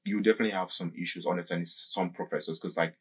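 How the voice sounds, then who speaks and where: Male guest, thirties, studio interview. Deep-set husky voice, casual and explanatory, halting with plenty of filler words. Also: fairly even intonation, sharp tone. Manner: halting, often says "like" and circles back. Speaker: podcast guest. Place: small indoor podcast studio. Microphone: desk microphone close up, with headphones.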